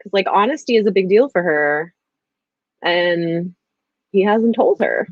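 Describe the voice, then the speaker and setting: A woman's voice: mid-range voice, expressive, a little slow, no echo, dull audio. A woman in a casual, informal book chat, speaking in an agreeable, hedging way as host. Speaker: host of a book discussion livestream.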